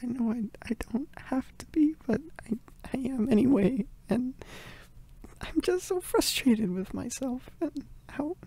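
A young woman, crying, speaking softly and haltingly in a girlfriend comfort roleplay, apologizing to the listener between whispers. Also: sits high, animated, breathy edge, rough recording. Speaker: audio roleplay performer.

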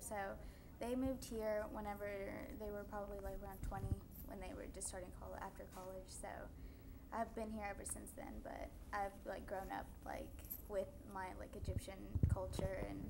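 A woman's voice: mid-range voice, background noise. Young woman, chatty with lots of fillers. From Kentucky, US. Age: young adult. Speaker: student guest. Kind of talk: casual interview answers. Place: TV studio set.

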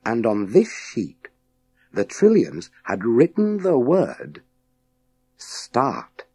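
A man narrating a children's science fiction audiobook in a measured, dramatic storytelling manner with deliberate pauses. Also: mid-range voice, huge pitch swings, a little mellow, husky, dry recording.

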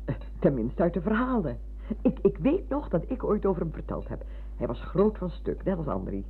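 An actor playing a chatty, opinionated elderly parent in a radio play, gossiping and meandering. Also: male voice, a little high, big pitch swings, normal speed, a little hiss or hum. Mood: curious, reminiscing. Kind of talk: Dutch radio play acting. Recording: muffled phone-like old radio recording.

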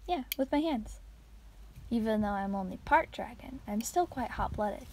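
A woman playing a friendly, absent-minded part-dragon traveler in an ASMR roleplay, soft-spoken, chatty and musing. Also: on the high side, animated, normal speed, natural voice. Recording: faint noise, clicking sounds, outdoors.

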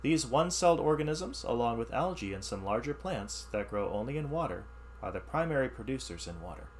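A man reading a science ebook aloud as a teacher, pausing to explain the text in simpler words. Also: medium pitch, very animated, a little hiss or hum. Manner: reads text then explains in plain words. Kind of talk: read-aloud lesson with asides.

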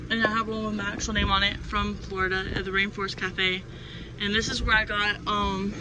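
A young adult woman giving a casual, unscripted home tour inside a camper in a rambling, offhand manner. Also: mid-range voice. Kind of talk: casual, unscripted show-and-tell. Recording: noisy handheld recording, steady background noise.

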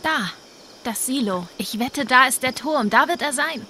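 An actress voicing an earnest, self-doubting young woman in a video game dub, soft-spoken and pensive. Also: sits high, animated, a little slow, slight background noise, heavily acted. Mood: focused, puzzling out. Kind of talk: dubbed video game acting.

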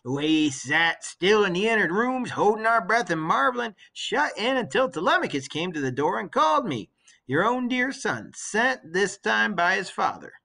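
A man voicing an excited, insistent old nursemaid in a storybook reading, exclamatory and emphatic. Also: high-pitched, moderate pace, vocal fry, heavily acted. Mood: excited, joyful.

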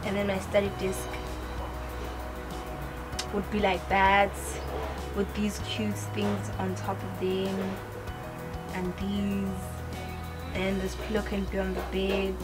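Giggly teen girl rambling slowly. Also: mid-range voice, completely flat delivery, over loud music. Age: teens. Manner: giggly, rambling, lots of fillers. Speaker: daughter on a couch chat. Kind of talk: casual chat. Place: living room, on a couch.

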